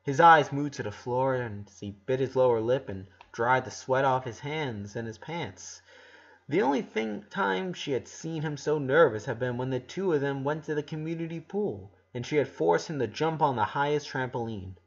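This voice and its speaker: A man's voice: medium pitch, animated, normal speed, dull audio. A man narrating a story aloud as an amateur audiobook reader.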